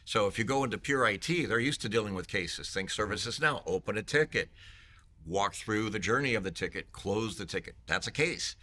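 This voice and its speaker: Brief: low-pitched man with a bright, forceful tone, joking vendor pitch. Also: animated, fairly quick. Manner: salesy, joking, playful. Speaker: vendor marketing executive. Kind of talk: conference booth interview.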